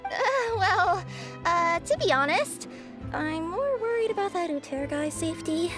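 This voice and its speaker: A woman's voice: extremely high pitch, animated, measured pace. A woman voicing a polite, anxious young courier in a video game, youthful, earnest and a little hesitant. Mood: worried. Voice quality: youthful, girlish. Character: anxious, polite young female courier, fretting over her friend. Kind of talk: video game character acting. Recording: music playing behind the voice.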